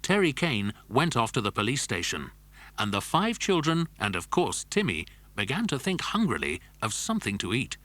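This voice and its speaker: A man's voice: medium pitch, wildly swooping pitch, normal speed, a bit bright, no room sound. A man narrating a scripted children's adventure story.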